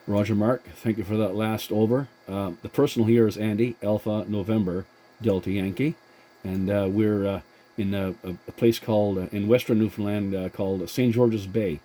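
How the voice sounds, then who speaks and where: Low, mellow man on CB radio. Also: steady tone, rough recording. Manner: courteous, halting with many hesitations. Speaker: CB radio operator and channel host. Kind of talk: casual two-way radio chat.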